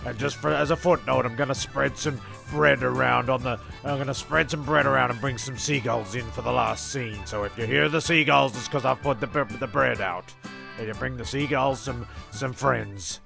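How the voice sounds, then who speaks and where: A man narrating an informal improvised comedy as its host, halting and repeating words as he goes. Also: mid-range voice, creaky, over music.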